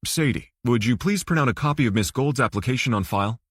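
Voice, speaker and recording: A man's voice: medium pitch, animated, fast talker, husky, no echo, clean studio sound. A man voicing a guarded, formal male banker who is politely firm, in an audiobook thriller. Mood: formal, brisk.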